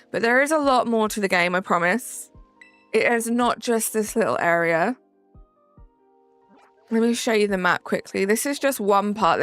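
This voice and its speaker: A woman's voice: mid-range voice, fairly bright tone, no room sound. A young woman with a Southern English accent, chatting casually on a live stream and answering viewers' questions. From Southern England. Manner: chatty, answers chat with fillers like "um" and "like". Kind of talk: casual live-stream chat reading comments.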